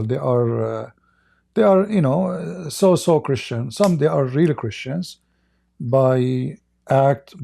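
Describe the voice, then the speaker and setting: Gravelly, dark-voiced male host. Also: mid-range voice. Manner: firm, measured, emphatic. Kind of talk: live debate show answering callers.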